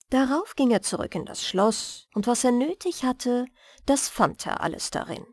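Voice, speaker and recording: A woman narrates a bedtime fairy tale with careful, expressive phrasing. Her voice sits a little high and moves in a lively way, recorded close and dry. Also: dry recording. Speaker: storyteller, audiobook reader.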